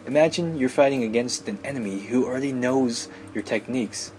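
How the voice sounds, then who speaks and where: A man's voice: medium pitch, brisk, darkish tone, slight background noise, lightly acted, rough recording. A man voicing a bossy, teasing teenage little sister from an anime visual novel, lecturing and scolding.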